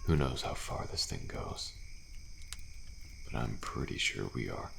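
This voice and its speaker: A jaded, thrill-seeking survivor played by a man rumbles in a very deep, heavily gravelled voice. He drawls slowly and lazily, pausing and sighing, in an intimate audio roleplay. Crickets and faint campfire noise sit behind him. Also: fairly bright tone, heavily acted. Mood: resigned, musing. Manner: slow, drawling, laid-back, with pauses and sighs. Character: weary, thrill-seeking male survivor of endless killer trials. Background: campfire ambience with crickets.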